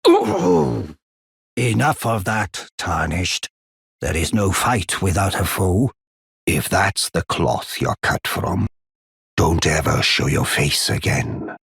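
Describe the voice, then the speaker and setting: Very deep, husky old giant. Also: male voice, animated, fairly bright tone, no echo, clean studio sound, exaggerated voice. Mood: stern. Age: older. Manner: slow, solemn, archaic courteous phrasing. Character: aged giant blacksmith and war counselor, solemn, courteous, devoted. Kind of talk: formal video game character acting.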